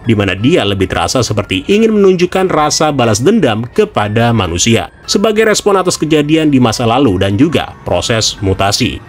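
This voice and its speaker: A man narrates a semi-casual scripted explainer, speaking directly to viewers in a conversational way. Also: mid-range voice. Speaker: video narrator. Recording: music behind the voice. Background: background music.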